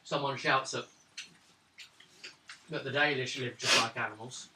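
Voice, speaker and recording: A man's voice: mid-range voice, steady tone, unhurried, heavy background noise. A man running a tabletop game as game master, narrating and explaining the rules. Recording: roomy room, mic far off.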